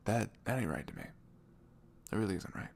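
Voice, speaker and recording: A man playing a sweet, caring young boyfriend in an intimate ASMR roleplay, chatting casually and rambling in a velvety low voice. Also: huge pitch swings, a little fast, gravelly, darkish tone, slight background noise, full character voice. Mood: open, musing. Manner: chuckling, informal, loosely rambling.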